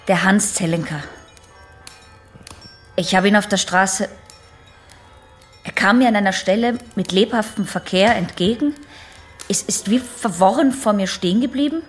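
An actress playing a troubled, guarded young patient in a radio drama, hesitant. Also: female voice, mid-range voice, slow, pauses often, soft background music, close to an everyday voice. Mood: hesitant, evasive. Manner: hesitant, broken sentences.

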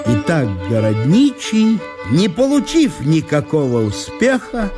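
Guy narrating a classic story for radio, slightly high voice, slow, theatrical, music in the background. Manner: expressive storytelling. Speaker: narrator, actor.

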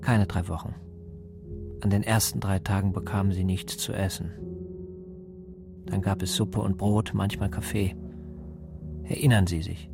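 A man playing a calm, controlling interrogator in a radio drama, putting measured, cold questions. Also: low-pitched, vocal fry, over music. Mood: cold control. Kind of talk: German radio drama acting.

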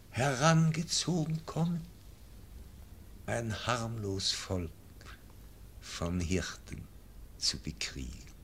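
An actor playing a frail, dying old nobleman, speaking verse slowly and fading into whispers. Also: fairly low pitch, gravelly, fairly bright tone, a little hiss or hum, heavily acted. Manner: slow, fading, drops into whispers. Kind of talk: classic radio drama verse.

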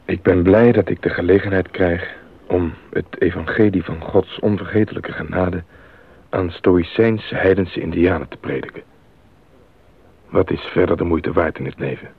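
An actor playing a devout male missionary reading his letter in an earnest, measured, solemn radio drama style. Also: low-pitched, steady tone, husky. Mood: solemn conviction. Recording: narrow phone-like old radio sound.

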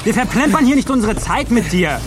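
A teenage schoolboy zealot in a radio drama. His voice is very high and swings widely, officious and insistent, with some music behind it. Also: sing-song, a little fast, a crowd in the background. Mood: pushy, menacing. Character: zealous teenage schoolboy, self-appointed bodyguard turned bully. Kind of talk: German radio play acting.

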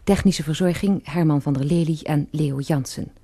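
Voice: mid-range voice, normal speed, mellow, breathy edge. An announcer reading scripted closing credits for a radio play.

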